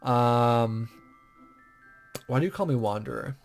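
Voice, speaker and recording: A man's voice: mid-range voice, takes it slowly, soft background music. A man hosting a let's play, reading a visual novel aloud and commenting casually, often stumbling over the text.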